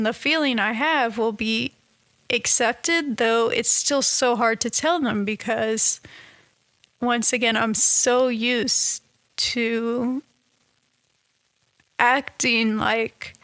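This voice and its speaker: A woman talking in a casual, reflective monologue, halting and restarting often, with a slightly nasal, stuffed-up voice. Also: a little high, no echo. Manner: halting, many restarts and soft laughs. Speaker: vlogger.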